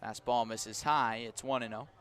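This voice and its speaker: A man calling live baseball play-by-play as a radio announcer, measured between pitches and lifting with the action. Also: fairly even intonation, fairly bright tone. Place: outdoor ballpark broadcast.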